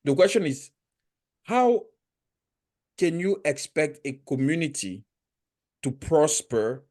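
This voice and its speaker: A man's voice: a little high, very animated, slow pace, darkish tone. A man who learned English as a second language, hosting a podcast interview and arguing his points earnestly, often restarting his sentences. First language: not English. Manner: earnest, argumentative, building points step by step; frequent restarts and repeated words. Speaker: podcast host. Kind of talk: casual interview chat.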